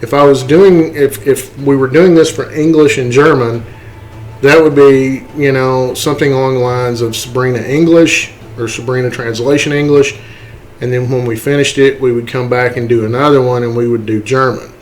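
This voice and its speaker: A man instructing in a casual, step-by-step software tutorial, explaining things and often checking in with short tag words. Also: medium pitch, fairly even intonation, normal speed, a little hiss or hum, poor audio.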